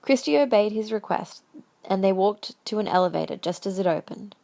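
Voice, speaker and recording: A woman narrator tells a fan fiction story as an audio drama. Her voice sits at a medium pitch and she reads from a script in the third person. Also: animated, fairly quick, creaky, mellow.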